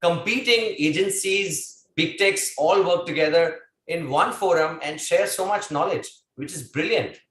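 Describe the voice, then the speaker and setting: A man's voice: fairly high pitch, a little slow, few pauses, a bit bright. A man moderating a webinar panel, talking enthusiastically and conversationally. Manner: enthusiastic, effusive praise, conversational. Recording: echoey room sound.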